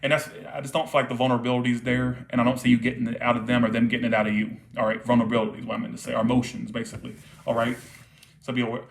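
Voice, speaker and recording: A man's voice: medium pitch, little pitch movement, rapid-fire, gravelly. A man reading tarot cards live for viewers, repeating his points and checking in often, his voice starting to tire. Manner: repetitive, checks in with frequent "all right?". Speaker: tarot reader.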